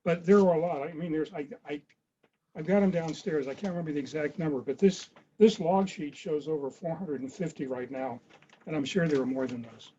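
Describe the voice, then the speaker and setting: Muffled video call with faint noise, older man, slightly high voice, casual recollection, conversational. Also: fairly quick, dark tone. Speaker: club member. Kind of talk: casual reminiscing. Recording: dull, noisy video-call audio.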